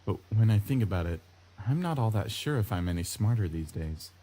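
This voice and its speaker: A man reading a first-person visual novel story aloud in an expressive narrating style. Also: on the low side, a little fast. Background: prominent plucked guitar music.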